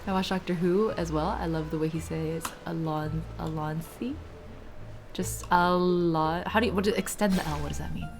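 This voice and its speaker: A woman streaming a game and chatting casually and playfully, thinking out loud in a soothing voice. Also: fairly low pitch, brisk, hardly any pauses, soft background music. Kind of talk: casual live chat while playing a story game.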